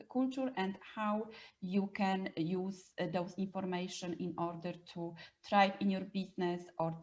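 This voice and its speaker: A woman's voice: medium pitch, a little mellow. Dull-sounding woman hosting. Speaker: podcast host. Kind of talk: podcast hosting and interviewing.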